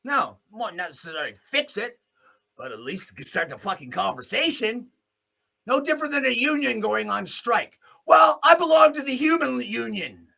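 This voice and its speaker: A man's voice: extremely high pitch, expressive, normal speed, creaky, pushed and bright. A man hosting a solo commentary show, delivering a blunt, off-the-cuff opinionated monologue with free use of swearing.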